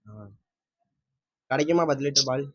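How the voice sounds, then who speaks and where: A man's voice: medium pitch, moderate pace, dry recording. A man chatting casually, as a prank caller on a homemade vlog. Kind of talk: casual vlog and phone chat. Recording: dull, lo-fi phone-video sound.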